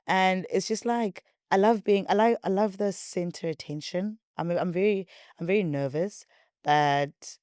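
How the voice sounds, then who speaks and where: A young woman with a South African accent and a deep voice, hosting her podcast in a rambling, repetitive, chatty way. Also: unhurried, a little mellow, dry recording, studio quality. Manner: rambling, repetitive, chatty, says she talks a lot. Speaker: podcast host. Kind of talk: casual chat to viewers.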